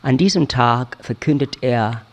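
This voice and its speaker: A man giving a measured, scripted literary narration for a radio drama, telling the story in short declarative phrases. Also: mid-range voice. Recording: loud music under the voice. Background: loud music with brass sounds.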